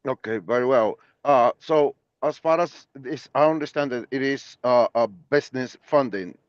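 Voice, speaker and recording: A man's voice: mid-range voice, moderate pace, no echo, lo-fi sound. Hesitant man with a Portuguese accent. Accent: Brazilian Portuguese. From Puerto Rico. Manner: hesitant, many filler sounds. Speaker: prospective broker asking questions. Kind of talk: online call.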